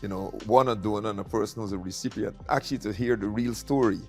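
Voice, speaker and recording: A man's voice: lowish voice, quick pace, a little mellow. A middle-aged man from Guyana, hosting a casual interview and often repeating words between fillers. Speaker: tea company founder hosting the conversation. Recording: light music in the background.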